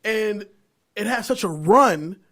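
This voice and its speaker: A middle-aged American man hosting a casual podcast, telling stories in an animated, slangy, profane way. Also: high-pitched, slow. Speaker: podcast host.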